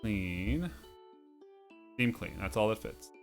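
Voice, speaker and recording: A man's voice: on the low side, expressive, takes it slowly, faint music behind. A man hosting a video game playthrough, commenting and reading on-screen text aloud, sometimes stumbling over words.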